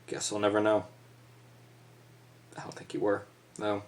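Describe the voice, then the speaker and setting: A young man acting an awkward, self-conscious teenage boy, halting and correcting himself in a stage monologue. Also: lowish voice, completely flat delivery, slight background noise, lightly acted. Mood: awkward, regretful. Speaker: stage actor. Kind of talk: theatrical letter monologue.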